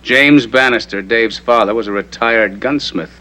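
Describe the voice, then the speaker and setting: An actor playing a serious, methodical district attorney, measured and matter-of-fact, in a 1960s TV crime drama. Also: male voice, mid-range voice, sharp tone, a little hiss or hum, natural voice, rough recording. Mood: explanatory. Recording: vintage TV soundtrack, faint music.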